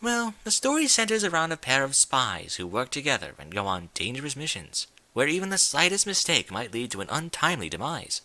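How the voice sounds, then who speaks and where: A man voicing a refined young female pony from a cartoon world in a story reading, with genteel, theatrical, gently prodding diction. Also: mid-range voice, huge pitch swings, a little fast, hardly any pauses, dry recording, pitched above the performer's own voice, exaggerated voice. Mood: coy, storytelling.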